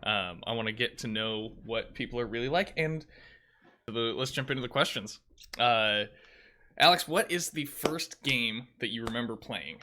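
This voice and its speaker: A man's voice: medium pitch, moderate pace, crowd noise. A man in his late twenties hosting a casual interview, upbeat and encouraging, with a few hesitant fillers. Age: 20s. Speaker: interviewer, host.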